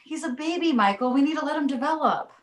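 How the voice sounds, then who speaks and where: A woman playing a doting young mother with a soothing, reasoning manner, in an animated comedy table read. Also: high-pitched, fast talker. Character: doting, placating young mother of a baby boy. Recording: echoey room.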